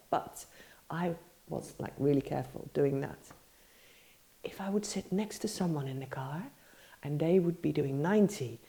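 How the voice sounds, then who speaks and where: A woman's voice: deep voice, vocal fry, dark tone. A middle-aged woman with a stuffy nose from a cold, rambling and correcting herself often as she teaches on her podcast. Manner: rambling, many fillers and self-corrections. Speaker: podcast host, meditation teacher. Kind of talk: casual spoken teaching.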